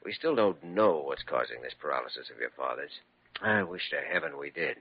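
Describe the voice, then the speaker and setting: Assured young American physician, deep and lively, dull old radio-drama sound. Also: male voice, a little fast, noisy background, exaggerated voice. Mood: gentle, concerned. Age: young adult. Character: earnest, firm, patient young American hospital doctor. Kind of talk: 1940s radio drama acting. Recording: dull vintage radio recording.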